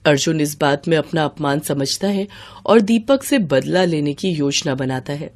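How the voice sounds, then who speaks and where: A woman's voice: sits low, expressive, moderate pace, darkish tone. A woman narrating a scripted moral story for children.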